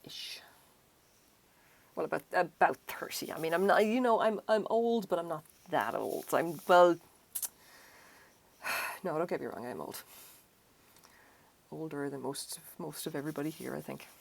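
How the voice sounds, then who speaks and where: A woman's voice: low-pitched, measured pace, vocal fry, pauses often. A middle-aged woman with an Irish accent, chatting casually and rambling while she crochets on a live stream. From Ireland. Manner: chatty, self-deprecating, rambling asides.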